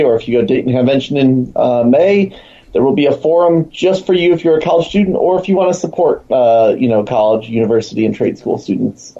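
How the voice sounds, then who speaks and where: A man's voice: mid-range voice, mellow. A man speaking as a guest in casual, promotional talk about a program he helps run, over lo-fi call audio. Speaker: show guest, program organizer.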